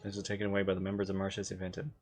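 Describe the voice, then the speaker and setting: A man's voice: low voice, completely flat delivery, very fast, darkish tone. A man giving casual live reactions as a game streamer. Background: faint game music.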